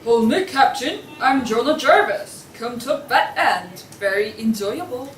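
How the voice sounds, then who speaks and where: A woman's voice: a little high, animated, a little slow. A young woman playing longing ghosts of drowned sailors in a table read of a radio play. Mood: yearning. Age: young adult. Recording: echoey noisy room, distant mic.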